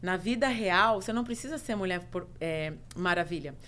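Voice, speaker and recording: A middle-aged Brazilian woman with a Brazilian Portuguese accent, a podcast guest telling her own story in casual chat, expressive and emphatic, listing things in rhythmic runs. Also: on the low side, brisk, a little hiss or hum.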